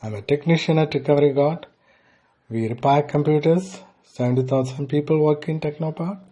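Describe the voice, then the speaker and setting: A man giving an instructional language lesson as a teacher, deliberately sounding things out piece by piece. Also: fairly high pitch, mellow. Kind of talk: slow instructional lesson with repetition.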